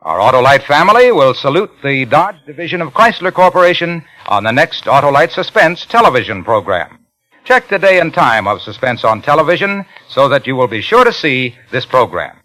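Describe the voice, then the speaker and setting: A man's voice: medium pitch, very animated, brisk, bright, forceful tone, rough recording. An American man reading a scripted sponsor announcement in the polished, emphatic style of a 1950s radio announcer. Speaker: commercial announcer. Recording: vintage 1950s radio broadcast.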